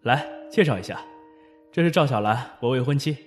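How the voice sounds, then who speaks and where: 一名男配音演员扮演一位随和、即将结婚的年轻男子。. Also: male voice, medium pitch, expressive, moderate pace, soft background music, lightly acted. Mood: easygoing. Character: cheerful young groom-to-be.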